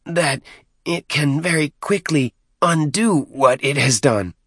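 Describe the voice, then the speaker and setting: A small, servile alien deacon as a man acts him out in a science fiction audiobook. The voice is raised high into a strained squeal. He speaks with nervous, pleading, overly careful courtesy in a dry studio sound. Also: slow pace, fairly bright tone, slightly rough, no room sound, full character voice. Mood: anxious. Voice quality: muffled squeal.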